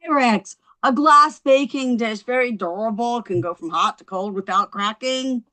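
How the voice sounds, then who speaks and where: An actress playing a hurt, stubborn, sardonic New York housewife at a table read, with emphatic, sarcastic delivery. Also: female voice, fairly high pitch, moderate pace, bright, forceful tone. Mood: tipsy, insistent. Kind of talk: table read of a TV comedy-drama script.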